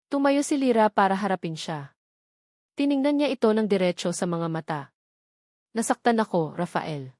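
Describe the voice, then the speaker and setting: A woman's voice: medium pitch, animated, fairly quick, a little mellow, no room sound, studio quality. A woman storyteller giving a scripted, dramatic narration of a romance story.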